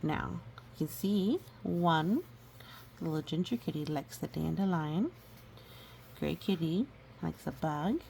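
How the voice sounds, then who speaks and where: A woman reading a storybook aloud gently and adding educational asides. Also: low-pitched, lively intonation, slow, creaky, long pauses, poor audio. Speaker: storybook reader. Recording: faint background noise.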